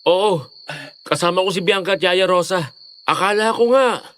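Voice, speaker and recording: A man's voice: high voice, normal speed, fairly bright tone, clean tone, big-room sound. A man playing an easygoing, respectful young boyfriend in an audio drama. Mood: surprised. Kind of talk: Filipino audio horror drama acting.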